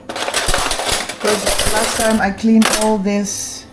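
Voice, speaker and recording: A woman's voice: mid-range voice, flat delivery, very slow. A Dutch woman with a Dutch accent, whose first language is Dutch, chatting casually to her viewers while cooking in her home kitchen. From Netherlands. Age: adult with children. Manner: chatty, addresses viewers directly, mixes in Dutch words. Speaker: cooking vlogger. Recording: echoey kitchen with background music.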